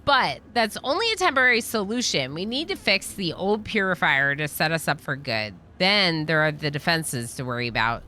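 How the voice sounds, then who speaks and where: A woman's voice: deep voice, big pitch swings, moderate pace, few pauses, pushed and bright, slight background noise, heavily acted. A woman reading game dialogue aloud as a weary, brooding colony engineer who grumbles and explains. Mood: weary, grumbling.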